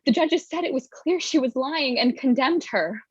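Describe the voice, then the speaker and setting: A woman playing a concerned, sorrowful young gentlewoman in a staged gothic drama, earnest and husky. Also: sits high, animated, normal speed, dry recording, dull audio. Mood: defensive, distressed. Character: Elizabeth, sorrowful, concerned young gentlewoman, firm yet doubting.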